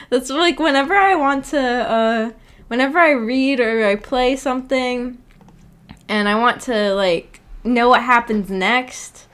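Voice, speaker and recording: A woman with a somewhat high voice chats casually as a livestream host. She rambles informally through her thoughts and has a giggly manner. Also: takes it slowly, a bit bright, smooth. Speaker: streamer hosting a book club discussion.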